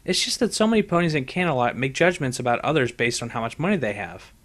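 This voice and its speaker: A man's voice: medium pitch, expressive, quick pace, fairly bright tone. Guy doing a bookish young girl unicorn, polite, bit hesitant, audiobook pony character. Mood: modest, reluctant. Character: modest, studious young female unicorn pony. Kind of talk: fan fiction audiobook character voice.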